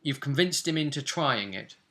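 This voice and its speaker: A man's voice: mid-range voice, animated, moderate pace, a bit bright. A man chatting casually as game master and host, recapping a tabletop roleplay session.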